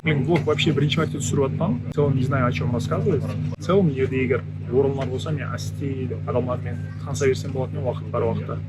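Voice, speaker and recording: A man's voice: mid-range voice, fast. A man chatting casually to his vlog, improvising with some hesitation and switching between languages. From Karakalpakstan, Uzbekistan. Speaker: vlogger. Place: on the move, outdoors and in vehicles. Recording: loud traffic and vehicle noise.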